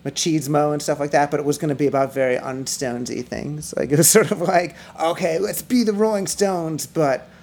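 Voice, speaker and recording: A middle-aged man, a musician guest, chatting casually on a podcast, rambling with restarts and fillers in a high, slightly whiny voice. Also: a little fast, few pauses, a little mellow. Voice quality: self-described high, whiny voice. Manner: rambling, many restarts and fillers, laughs often.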